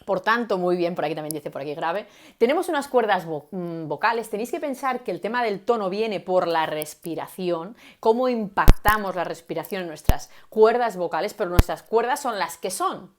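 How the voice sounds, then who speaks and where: Lively woman coaching viewers. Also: lowish voice, normal speed. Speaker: communication coach. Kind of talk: live-stream teaching talk.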